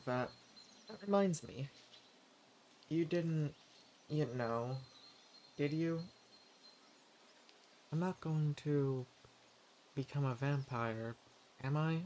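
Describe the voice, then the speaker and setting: A man playing a friendly, eager adventurer and forager in a fantasy roleplay audio drama, speaking politely and earnestly with gentle hesitation. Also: fairly high pitch, very slow, long pauses, slight background noise. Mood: worried. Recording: clear outdoor nature ambience with birdsong. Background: forest ambience, birds.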